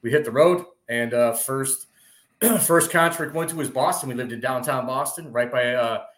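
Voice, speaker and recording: A man from Wisconsin, a podcast guest, telling his travel story in a relaxed, rambling way, full of "man" and "you know". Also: medium pitch, moderate pace. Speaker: podcast guest, traveling fishing content creator. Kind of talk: casual storytelling chat. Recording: roomy echo.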